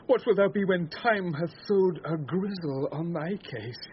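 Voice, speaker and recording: A man's voice: sits high, unhurried. An actor playing a proud, lovesick nobleman in a lofty, declamatory Shakespearean radio style. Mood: bitter, wounded. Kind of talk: classical Shakespearean radio acting. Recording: slightly muffled radio recording, faint noise.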